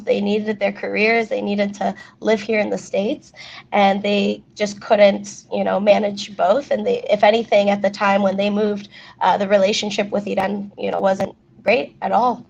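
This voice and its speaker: A young adult woman, a native Spanish speaker, chatting as a fitness athlete guest, telling stories in an animated way with frequent laughs. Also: medium pitch, creaky. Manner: animated storytelling, laughs often. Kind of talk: casual interview chat. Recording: dull lo-fi audio.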